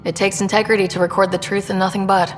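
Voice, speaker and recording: An actress playing a composed, earnest young space explorer in a video game, measured and direct. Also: female voice, mid-range voice, little pitch movement, lightly acted. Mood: composed, curious. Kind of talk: video game dialogue acting. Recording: long hall reverb, faint noise.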